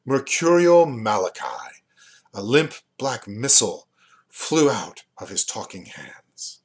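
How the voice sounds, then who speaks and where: Male reader, 50s, for literary prose: measured pace, animated intonation, deliberate dramatic phrasing. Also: on the low side, husky. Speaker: reader, poet and book dealer. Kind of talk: expressive literary reading aloud. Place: small indoor room at home.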